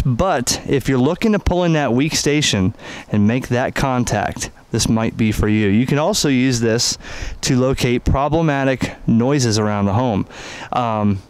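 A man's voice: mid-range voice, clean tone. A man casually explaining gear in an informal hands-on product demonstration, recorded outdoors from behind a handheld camera. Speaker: amateur radio product reviewer. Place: outdoors in a backyard. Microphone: handheld camera microphone, operator behind camera.

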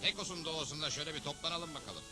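A man's voice: on the high side, expressive, racing, music in the background, natural voice. A man voicing a level-headed, fair cowboy hero in a Turkish cartoon dub, speaking with assurance. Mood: decisive.

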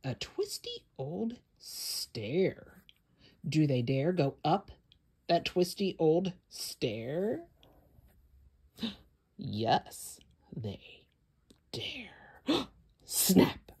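A woman's voice: sits low, sing-song, drawn-out words, long pauses, darkish tone, slightly rough. A woman hosting a children's story time, reading aloud in a dramatic, suspenseful way with whispers and gasps.

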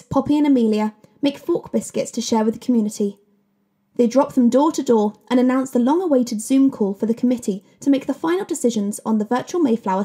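A woman's voice: fairly high pitch, mellow, slightly rough. A woman giving scripted narration, recapping a story for listeners.

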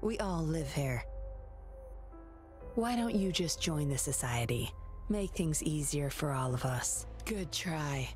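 A woman's voice: sits low, expressive, slow pace, soft background music. An actress voicing a cool, dryly mocking young woman who escorts a captive in a game. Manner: dry, mocking.

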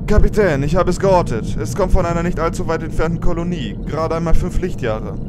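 Bridge officer played by a man, dutiful and crisp in reporting, slightly high voice, heavy ship noise. Sci-fi audio drama. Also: brisk, lightly acted. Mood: alert. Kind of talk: science fiction audio drama dialogue. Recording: loud vehicle-like ambient noise.

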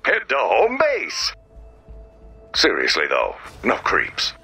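An eager video game robot that speaks as a bombastic film-trailer announcer. The delivery is theatrical and slow, bright and forceful, with huge swings in pitch. Faint music plays behind it. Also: male voice, wildly swooping pitch, husky, heavily acted. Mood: booming sales pitch. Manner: grandiose, theatrical, drawn-out. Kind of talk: dramatic movie-trailer announcer parody.

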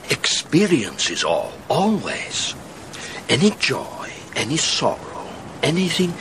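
An actor in a radio drama playing a passionate, restless, melancholy officer father, philosophizing in a dramatic, halting way. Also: medium pitch, background noise, heavily acted.

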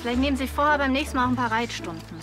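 A woman playing a brisk, friendly, self-assured riding instructor in a TV comedy drama. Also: sits high, lively intonation, music in the background. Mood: cool, teasing. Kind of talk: TV drama acting.

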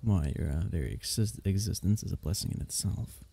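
A man playing an affectionate, teasing royal diviner in an intimate fantasy ASMR roleplay, speaking haltingly with courtly wording. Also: low voice, slight background noise. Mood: teasing, fond. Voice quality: mellow, gravelly.

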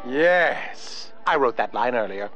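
A man's voice: medium pitch, lively intonation, takes it slowly, a bit bright, faint music behind, poor audio. A man playing an eccentric, obsequious henchman scientist with erratic emphasis in a cartoon video game. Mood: dry, fawning.

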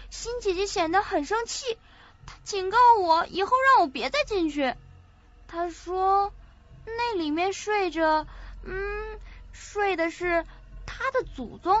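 A woman's voice: extremely high pitch, lively intonation, unhurried, fairly bright tone, no echo, exaggerated voice. An actress in an audio drama playing a sweet, forgetful young woman with a naive, hesitant way of talking. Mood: hesitant recalling. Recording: muffled lo-fi audio.

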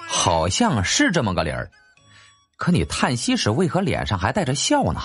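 A man voicing a gruff, blunt middle-aged warrior uncle who grumbles, in an audiobook. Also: mid-range voice, wildly swooping pitch, moderate pace, a bit bright, faint music behind, full character voice. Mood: admiring.

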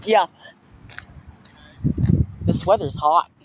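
A young man vlogging while walking outside, talking excitedly and repeating himself about an approaching storm, filmed on a handheld phone. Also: high voice, extremely slow. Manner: excited, repetitive exclamations. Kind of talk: casual walking vlog. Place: outdoors on a small-town street. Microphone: phone camera held at arm's length. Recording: outdoor street noise.

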